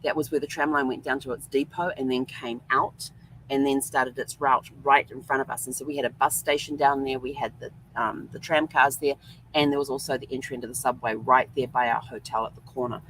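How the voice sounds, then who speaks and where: A woman's voice: extremely low pitch, fairly even intonation, a little fast, fairly bright tone, slight background noise, rough recording. A woman hosting a vlog, telling a rambling, chatty travel story full of ums. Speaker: host. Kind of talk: casual travel vlog monologue.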